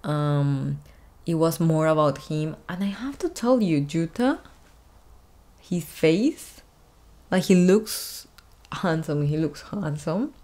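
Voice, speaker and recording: Brief: young woman vlog host, low voice, unhurried, chatty filler-heavy reaction talk. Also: lively intonation, a little mellow. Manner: chatty, many fillers like "like" and "honestly". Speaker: fashion designer and YouTube host. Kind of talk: casual reaction commentary.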